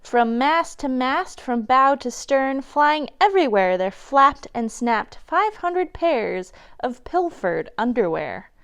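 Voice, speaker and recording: A woman with a fairly high, very smooth and clear voice reads a rhyming children's picture book. Her delivery is expressive and dramatic, as a storytime reader. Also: takes it slowly, few pauses.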